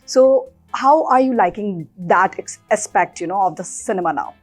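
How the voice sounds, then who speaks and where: Indian female talk show host, mid-pitched, conversational and chatty interviewer, faint music underneath. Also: animated, normal speed.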